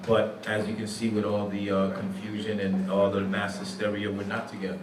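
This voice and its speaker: Low flat-voiced man in echoey hall. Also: fairly quick. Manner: thoughtful, mixes in Creole and French phrases. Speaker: teacher and nonprofit program director. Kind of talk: panel discussion answers. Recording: echoey room from far off, faint background noise.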